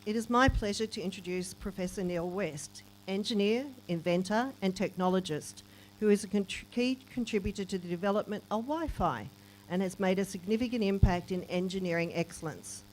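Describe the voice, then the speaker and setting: A woman's voice: mid-range voice, brisk, a little mellow, slight background noise, poor audio. A woman, a councillor formally reading out a guest's profile at a ceremony. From Australia.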